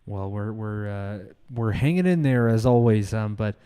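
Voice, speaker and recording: A man co-hosting a call-in show in a conversational, polite, halting way. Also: fairly low pitch, lively intonation, mellow, clear, resonant tone.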